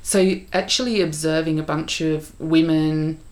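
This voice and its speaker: A woman's voice: sits low, steady tone, a little slow. A middle-aged woman co-hosting a podcast, chatting casually with a friend in a study at home. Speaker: podcast co-host. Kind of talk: casual chat between friends.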